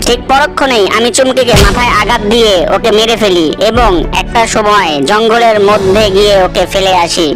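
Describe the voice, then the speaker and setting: Woman playing a medium-pitched young cartoon murderess. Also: natural voice. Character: young female murderer confessing her scheme. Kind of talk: cartoon voice acting.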